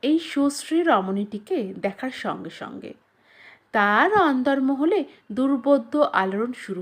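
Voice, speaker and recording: Female audiobook narrator, higher register, very animated intonation, measured phrasing with dramatic pauses. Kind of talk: expressive scripted narration of a literary short story.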